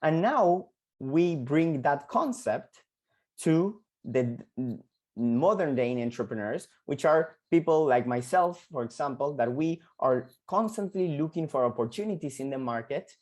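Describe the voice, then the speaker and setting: A man in his thirties from Argentina with an Argentine Spanish accent, giving an online entrepreneurship lesson and often repeating words and filling pauses. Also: on the high side, expressive, darkish tone. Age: 30s. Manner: halting, frequent repeated words and fillers. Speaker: entrepreneurship coach. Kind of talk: online lecture to students.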